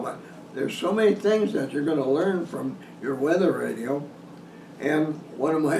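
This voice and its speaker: A man giving an informal instructional hunting talk in a halting, folksy way, repeating and restarting his phrases. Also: medium pitch, mellow. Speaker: deer hunting instructor hosting a video seminar. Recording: roomy echo, distant mic, some noise.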